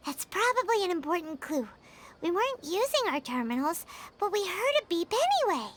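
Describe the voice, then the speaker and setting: A woman voicing a small, excitable, naive fairy-like girl companion in an anime fantasy game, with a squeaky childlike voice. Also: normal speed, background noise. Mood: earnest, puzzled. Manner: speaks of herself in the third person, exclamatory. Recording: loud music behind the voice.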